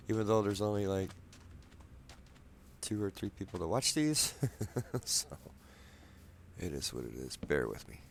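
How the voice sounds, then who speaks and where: A man quietly rambling through casual gameplay commentary in his apartment at night, a bit wheezy from a cold, soft-spoken and self-deprecating. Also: fairly low pitch, very animated, slow pace, creaky, pauses often, slight background noise. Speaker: gameplay video maker. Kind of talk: casual let's play commentary.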